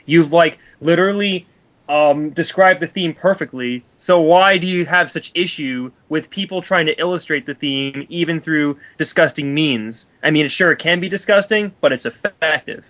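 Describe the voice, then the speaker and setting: Higher-pitched man, sarcastic reviewer. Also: normal speed, a bit bright. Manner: sarcastic, argumentative. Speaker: reviewer, co-host. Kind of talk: dramatic reading with mocking commentary.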